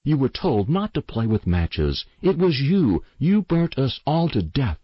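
A man acts out a haunted young girl from a ghost story, intense and desperate. The voice is raised in pitch, slightly husky, and insistent in its pleading. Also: sing-song, slow, no room sound, highs cut off, exaggerated voice. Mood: savage accusation. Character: desperate haunted girl insisting her family are dead.

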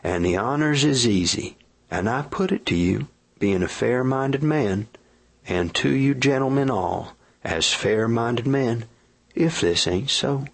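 Man playing a rustic frontier miner, high-pitched, gravelly, folksy drawl. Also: lively intonation, a little slow, heavily acted. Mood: earnest, pleading. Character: rough earnest male gold miner, awkward and plain-spoken.

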